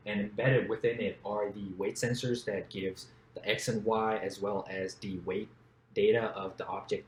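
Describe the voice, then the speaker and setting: A young man from Thailand, a startup co-founder, explaining his product in a steady business pitch. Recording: roomy echo, faint background noise.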